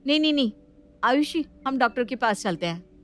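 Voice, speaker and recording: A woman's voice: high-pitched, expressive, a little fast. A woman playing a worried, selfless young housewife in a family story, emotional and insistent.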